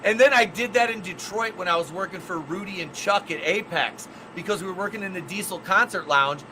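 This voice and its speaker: Profane man with bright voice. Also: sits high, brisk, a little hiss or hum, rough recording. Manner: swears freely, rambling storyteller. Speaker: podcast co-host and ring announcer. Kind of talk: casual profane chat.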